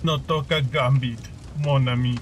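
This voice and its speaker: A man playing a defiant, dramatic mutant hero in an animated series fan dub. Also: mid-range voice, takes it slowly, noisy background, lightly acted. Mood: urgent, defiant.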